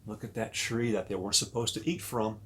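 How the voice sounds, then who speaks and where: A man reading a children's story aloud as a pastor, pausing to talk directly and expressively to young listeners. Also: on the low side, steady tone, fairly bright tone, a little hiss or hum.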